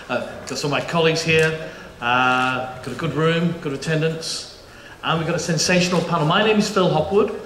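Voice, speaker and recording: A man's voice: fairly high pitch, normal speed, a bit bright. Middle-aged guy, bit aussie, moderating a panel, lots of ums and restarts, echoey hall, faint room hum. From United Kingdom. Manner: chatty, jokey, frequent restarts and fillers. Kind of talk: conference presentation. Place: conference auditorium.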